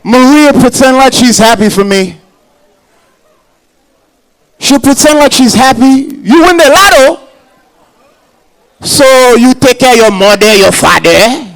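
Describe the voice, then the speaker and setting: A man's voice: very high-pitched, unhurried, long pauses, fairly bright tone, poor audio. A man performing a stand-up comedy set at a gala, playful and slipping in Haitian Creole phrases. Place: hotel banquet hall at a gala.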